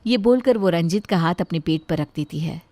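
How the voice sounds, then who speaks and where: A woman's voice: fairly low pitch, animated, a little fast, darkish tone, soft background music. A woman reading scripted narration of a moral story as its storyteller.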